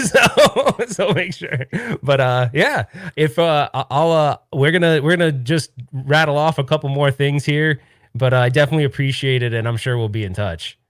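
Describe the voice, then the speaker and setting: A man's voice: mid-range voice, dry recording. Rambling male podcast host, dry sound. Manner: rambling, self-interrupting, laughs easily. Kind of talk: casual podcast chat.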